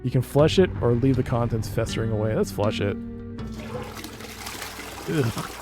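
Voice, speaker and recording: A man's voice: medium pitch, normal speed, music in the background. A man streaming a game, reading its narration aloud and adding casual commentary.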